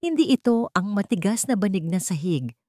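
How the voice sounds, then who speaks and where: A woman's voice: medium pitch, big pitch swings, moderate pace, mellow, dry recording, studio quality. A woman reading a scripted romantic drama as a storyteller, in dramatic narration.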